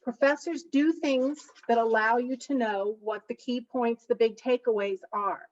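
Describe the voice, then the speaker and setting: A woman's voice: fairly high pitch, steady tone, measured pace, distant mic, dull audio. A middle-aged woman, a college instructor, giving a study-skills presentation with an emphatic, big voice that leans hard on key points. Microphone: computer mic for online session.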